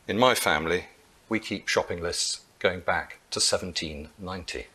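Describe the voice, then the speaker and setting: A man's voice: low-pitched, moderate pace, bright, forceful tone, slight background noise. A man in his sixties giving a lecture at a lectern, telling an anecdote with dry, wry humour, picked up by a lectern mic.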